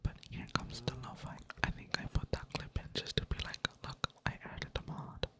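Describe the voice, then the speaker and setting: A creator whispering ASMR-style in a monotone, rambling casually while playing a game at a computer. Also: normal speed. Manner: monotone delivery; rambling, casual, self-mocking. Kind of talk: whispered ASMR chat over gameplay.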